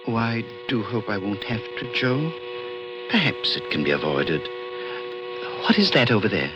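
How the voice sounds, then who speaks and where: An actor in an old radio drama playing a polite, serious alien visitor who speaks in a measured, precise, mildly condescending way. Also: male voice, lowish voice, very animated. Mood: gently regretful. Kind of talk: 1940s-50s radio drama acting. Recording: muffled vintage radio recording, faint music.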